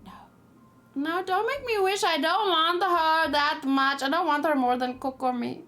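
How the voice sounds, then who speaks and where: A woman's voice: sits high, measured pace, fairly bright tone. A woman streaming a let's play, giving casual, chatty commentary and musing aloud as she goes. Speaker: gaming streamer.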